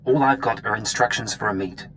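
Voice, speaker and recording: A man's voice: fairly low pitch, a little fast, fairly bright tone, slight background noise, poor audio. A man playing a blunt, dismissive intelligence officer in a video game briefing, dry and faintly disdainful. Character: blunt, dismissive male Imperial intelligence watcher.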